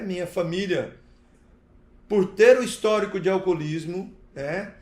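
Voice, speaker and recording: High-voiced man, echoey room. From interior of Paraná, southern Brazil. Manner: deliberate, pausing between short phrases, seeks agreement with tags. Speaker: recovery talk speaker. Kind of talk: personal testimony to an audience. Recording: echoey, roomy sound.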